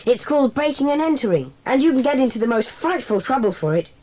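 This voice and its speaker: A woman playing a brisk, decisive schoolboy who leads a secret club in a dramatised children's audiobook. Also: sits high, big pitch swings, a little mellow, poor audio. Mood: brisk, commanding. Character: bossy, sensible schoolboy leader of a secret club.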